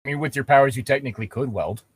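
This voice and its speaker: A man's voice: mid-range voice, rapid-fire, slightly rough, no room sound. A man reading fan fiction aloud as a co-host, casually mocking it with profane asides.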